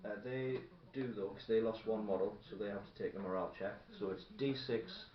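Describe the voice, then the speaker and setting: A man's voice: on the low side, fairly quick. A man chatting casually as he plays a tabletop wargame and explains the rules. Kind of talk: casual game-table chat. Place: indoor room at a gaming table. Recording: dull, echoey room recording with background noise. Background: faint background noise, radio playing.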